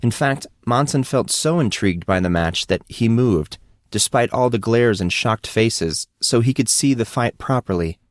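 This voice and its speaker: A man's voice: mid-range voice, expressive, normal speed, dry recording, studio quality. A man reading scripted fiction as an audiobook narrator.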